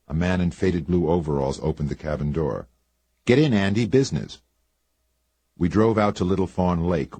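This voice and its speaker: A man's voice: a bass voice, darkish tone, slightly rough, no echo. A man narrating a hardboiled detective story in first person, laconic and wry. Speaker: audiobook narrator.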